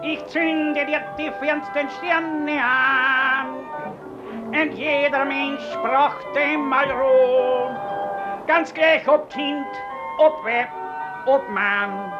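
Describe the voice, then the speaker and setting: An actor playing a dreamlike street singer in a 1950s German radio play, chanting in drawn-out phrases. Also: male voice, very high voice, fairly even intonation, exaggerated voice. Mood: dreamy, soothing. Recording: muffled old radio recording under loud music.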